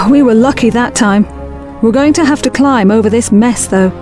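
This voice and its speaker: A woman playing a brisk, practical young team leader in an audio drama, speaking with blunt authority. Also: fairly high pitch, music in the background, natural voice. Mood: urgent command.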